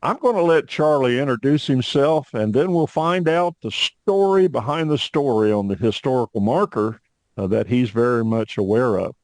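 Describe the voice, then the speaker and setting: A middle-aged man hosts a history podcast in a friendly, chatty way, dropping in frequent uhs between his thoughts. His voice sits at a medium pitch and is recorded dry and close. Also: lively intonation, normal speed, a little mellow, dry recording. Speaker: podcast host. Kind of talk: podcast interview hosting.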